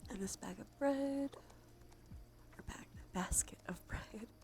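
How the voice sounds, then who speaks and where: A woman livestreamer talking casually in a soft, breathy whisper with vocal fry, in an informal ASMR game stream style. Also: fairly high pitch, slow pace. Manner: sweary, informal. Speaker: livestreamer and host.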